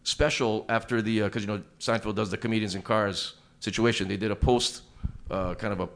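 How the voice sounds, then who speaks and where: Blunt, emphatic male co-host; quick, bright delivery. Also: on the low side, steady tone. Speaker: podcast co-host. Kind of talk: casual opinionated chat. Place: studio with several mics.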